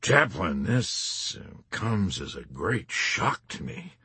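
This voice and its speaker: A man voicing a mournful, reproachful army major who speaks slowly and quietly. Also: low-pitched, big pitch swings, creaky, breathy edge, no room sound, heavily acted. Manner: quiet, slow, heavy lamentation.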